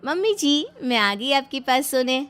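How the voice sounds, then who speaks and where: A woman's voice: high-pitched, sing-song, measured pace, a bit bright, faint music behind, voice pushed up, full character voice. A woman acting a pleading, stubborn young daughter-in-law. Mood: dutiful. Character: young daughter-in-law, polite but stubborn, easily put out. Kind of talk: dramatized Hindi family storybook.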